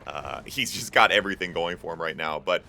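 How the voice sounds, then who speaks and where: Man, young adult, low voice, live esports caster, casual and chatty, faint hiss behind. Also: brisk, pushed and bright. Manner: casual and chatty, many fillers, jokes with chat. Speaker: tournament host and caster. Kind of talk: live esports play-by-play commentary.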